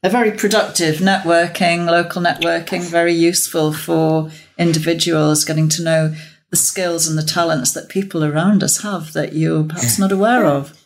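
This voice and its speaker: Lowish-voiced woman hosting an interview. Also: fairly even intonation, normal speed. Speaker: podcast host. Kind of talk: conversational interview.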